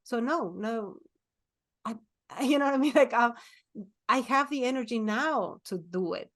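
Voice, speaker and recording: A woman's voice: a little high, unhurried, a bit bright. Earnest forties lady cohost, repeats stuff for emphasis. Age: 40s. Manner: earnest, repeats herself when stressing a point. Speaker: podcast co-host. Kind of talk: casual chat.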